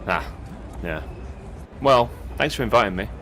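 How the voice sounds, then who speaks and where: An agreeable, unsure young protester in a satirical audio drama. His low voice moves with lively, tentative inflection, set against clear outdoor street noise. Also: moderate pace. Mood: naive, tentative. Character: hesitant, gullible, apologetic young male protester. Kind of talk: naturalistic comic audio drama dialogue.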